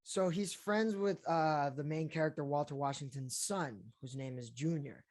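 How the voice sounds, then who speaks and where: A young adult man, an actor being interviewed, who talks in a relaxed, chatty way with lots of fillers. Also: fairly high pitch, normal speed. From Colombia, raised in Florida and Houston. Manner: relaxed and chatty, with many fillers and easy laughs. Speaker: actor guest. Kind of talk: casual interview talk.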